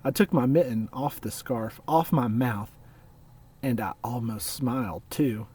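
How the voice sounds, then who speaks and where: Unhurried man reading a storybook aloud. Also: mid-range voice, darkish tone, breathy edge, recorded from a distance. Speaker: storybook reader. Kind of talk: read-aloud children's storytelling.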